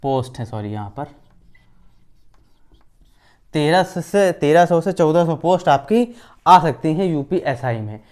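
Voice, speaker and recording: A man's voice: a little high, animated, normal speed, a little mellow. A man hosts an informal explainer on recruitment updates, repeating phrases for emphasis and often checking in with his listeners. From India. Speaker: host of an exam-news channel.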